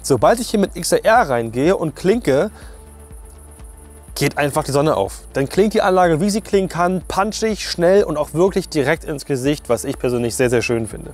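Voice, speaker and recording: A man speaks in a slightly high voice, reviewing audio equipment like a hands-on sound technician. His delivery is colloquial and opinionated, in the loose style of a casual spoken review. Faint music plays behind him. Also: animated, normal speed, darkish tone.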